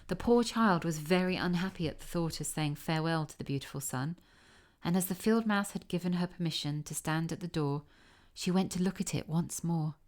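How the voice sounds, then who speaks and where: A woman narrating a children's fairy tale from a script in a measured, expressive storytelling manner. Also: fairly low pitch. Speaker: audiobook narrator.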